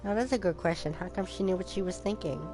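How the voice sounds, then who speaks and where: A woman giving casual, giggly and expressive reaction commentary while playing a video game. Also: on the low side, quick pace. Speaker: gaming content creator. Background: game music.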